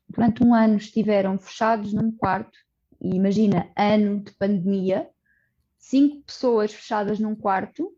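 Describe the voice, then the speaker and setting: Portuguese-accented woman guest, mellow, earnest explainer, slightly dull audio. Podcast interview. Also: mid-range voice. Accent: European Portuguese. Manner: earnest, explanatory, with frequent restarts and "portanto". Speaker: social coordinator of a community project, guest.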